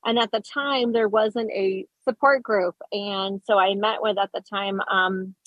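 A woman's voice: mid-range voice, steady tone, unhurried, dry recording, muffled recording. A woman from Colorado, a guest on a radio show, chatting in a bubbly way and laughing easily. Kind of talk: casual interview chat.

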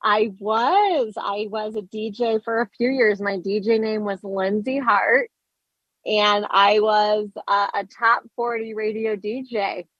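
Husky-voiced woman on a dull lo-fi line. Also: mid-range voice, slow pace, a bit bright, no echo. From Colorado. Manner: bubbly and chatty, laughs easily. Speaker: radio show guest. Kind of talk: casual interview chat.

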